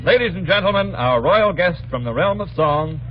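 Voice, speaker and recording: A man's voice: high-pitched, animated, moderate pace, over loud music. A man hosting an old-time radio variety show with scripted banter and grandiose, mock-courtly phrasing. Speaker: radio show host and announcer. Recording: muffled old radio recording, faint noise.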